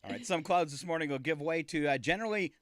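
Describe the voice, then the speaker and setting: A man hosting a morning radio show, chatting casually with many "uh"s and quick jokes about the weather. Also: fairly high pitch, a bit bright, no room sound, clean studio sound. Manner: joking, easygoing, filler-heavy. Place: radio studio. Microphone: studio microphone.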